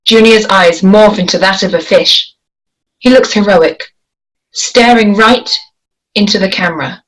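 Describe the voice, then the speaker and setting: A woman reading stage directions aloud at a script table read, in a deliberate, measured way. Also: mid-range voice, a bit bright, rough recording.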